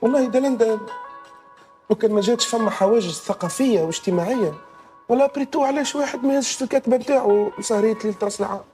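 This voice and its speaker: A man's voice: very high voice, very fast, dark tone, faint music behind. A man voicing a self-satisfied doctor and boss in a Tunisian cartoon comedy, pompous and condescending, sprinkling in French words. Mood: teasing, condescending. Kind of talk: animated sitcom dialogue.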